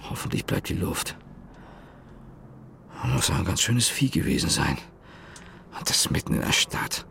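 An actor playing a weary, disillusioned man in a radio drama monologue, muttering to himself in halting fragments that slip between whispers and emphatic outbursts. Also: low-pitched, vocal fry, sharp tone, slight background noise, heavily acted. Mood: muttering, puzzled. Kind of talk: dramatic monologue, stream-of-consciousness radio play.

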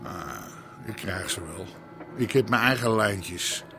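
An actor playing an aggrieved, older old-school crime boss with an Amsterdam accent, blunt and sarcastic, in a radio drama. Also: male voice, fairly low pitch, slow, music in the background. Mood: brooding, suspicious. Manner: blunt, sarcastic, grumbling street talk. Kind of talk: naturalistic radio drama acting.

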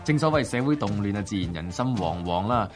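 Low-voiced Hong Kong Cantonese male host. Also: animated, fast talker, over loud music. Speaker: radio host. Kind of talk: conversational radio presenting.

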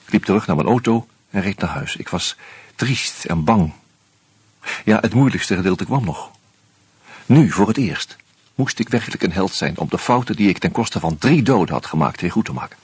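A man narrating a radio drama in the first person, measured and reflective. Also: low voice, expressive, husky, no echo.

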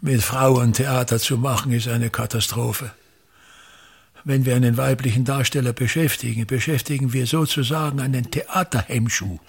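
An older actor with an Austrian accent playing a pompous, tyrannical actor-manager in a radio drama, grumbling in a declamatory way with drawn-out emphatic words. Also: male voice, medium pitch, steady tone, gravelly, husky. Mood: bitter ranting. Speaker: stage actor in a radio play. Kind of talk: theatrical radio drama monologue.

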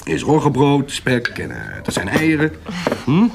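A teasing, sceptical rogue in a historical radio drama. His pitch leaps all over as he banters in disbelief. There is faint noise and the clink of tableware. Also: wildly swooping pitch, moderate pace, vocal fry. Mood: breezy, soothing. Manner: bantering, incredulous, swooping emphasis. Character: teasing, sceptical male rogue, ex-soldier's companion.

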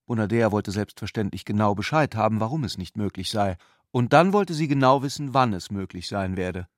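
A man reading literary prose for a radio production in a measured, matter-of-fact way. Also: on the low side, animated, no echo, studio quality. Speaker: narrator of a radio literary adaptation. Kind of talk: literary scripted narration. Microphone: close studio mic, dry.

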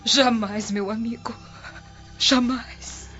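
A woman playing a gentle, pious monastic healer in a radio drama, consoling with tender, solemn, measured delivery. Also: a little high, steady tone. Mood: sorrowful, devoted. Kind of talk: old radio drama acting. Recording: dull lo-fi radio drama with music.

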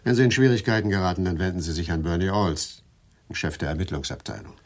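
An actor playing a sober, cautioning chief prosecutor in a hard-boiled radio drama, speaking in a measured, official manner. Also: male voice, deep voice, lively intonation. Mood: serious, cautioning.

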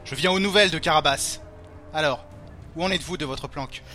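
Sharp, pompous police prefect. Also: male voice, fairly high pitch, fairly quick, faint music behind. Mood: scornful. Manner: pompous, authoritative, dismissive. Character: curt, condescending, impatient male police prefect. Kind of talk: French audio drama acting.

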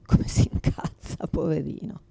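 A woman's voice: a little slow, warm tone, dry recording, close to an everyday voice. An actress playing a confused, forgetful mother in a radio theatre monologue, exhausted, bitter and pleading, speaking in repetitive fragments. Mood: weary, hushed sorrow. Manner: fragmented, repetitive, swearing, swinging from whispers to outbursts.

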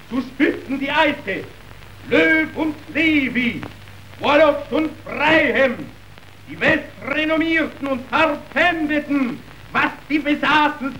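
A man's voice: extremely high pitch, sharp tone, a little hiss or hum, full character voice, rough recording. A man acting an impassioned messenger in a radio drama, declaiming verse in a theatrical manner that moves between grave and frenzied. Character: impassioned male messenger reporting a stock market collapse.

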